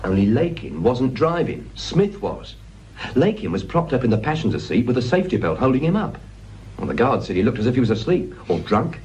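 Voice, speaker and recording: Junior detective, young British male, played by an actor. Earnest, urgent, animated delivery; radio drama with faint noise. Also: medium pitch, brisk, close to an everyday voice. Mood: urgent, insistent. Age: young adult. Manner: urgent, insistent. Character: earnest, indignant young police detective, idealistic. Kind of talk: naturalistic radio drama acting.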